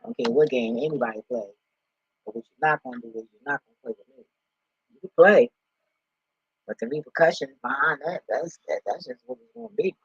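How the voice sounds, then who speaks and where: Husky, blunt man in a roomy, muffled recording. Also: lively intonation, brisk. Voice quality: husky, deep voice. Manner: blunt, profane, streetwise slang. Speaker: online spiritual commentator. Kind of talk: casual, unscripted rambling talk. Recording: roomy, dull lo-fi home recording.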